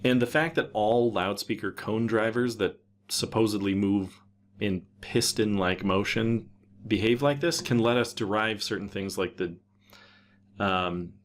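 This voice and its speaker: A man's voice: sits low, a bit bright, a little hiss or hum. A man giving an unscripted technical tutorial, thinking aloud and explaining in a hesitant way. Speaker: tutorial presenter.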